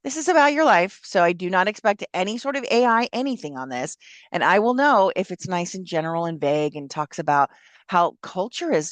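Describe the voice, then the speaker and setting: Older woman instructor, chatty, encouraging, fillers, lowish voice, dry sound. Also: dry recording. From Southern California. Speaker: college instructor. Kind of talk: casual classroom explanation.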